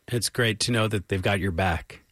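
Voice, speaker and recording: A man's voice: lowish voice, moderate pace, a bit bright, no echo, clean studio sound. A man with an American accent hosting a public radio music interview in an effusive, encouraging manner. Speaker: radio show host and interviewer.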